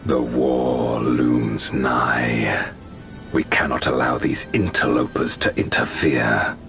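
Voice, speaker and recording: An actor playing a menacing, contemptuous dark overlord in a video game cutscene, with slow, imperious pronouncements. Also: male voice, fairly even intonation, exaggerated voice. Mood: grim, commanding. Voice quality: very deep, gravelly. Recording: music behind the voice.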